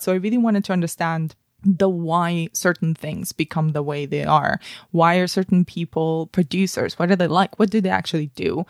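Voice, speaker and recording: A woman's voice: on the low side, no room sound, clean studio sound. A woman in her thirties from Spain, a guest telling her career story in a podcast interview, thoughtful with many "kind of" fillers and restarts. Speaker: guest, film industry professional.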